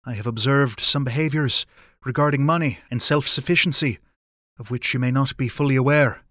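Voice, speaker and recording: A man's voice: medium pitch, pushed and bright, no room sound, clean studio sound, close to an everyday voice. Stately, formal, protective man voiced by a male reader. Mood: grave, commanding. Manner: formal, measured, archaic wording. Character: formal, stately, calm male lover, protective silent sentinel. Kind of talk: audiobook character dialogue.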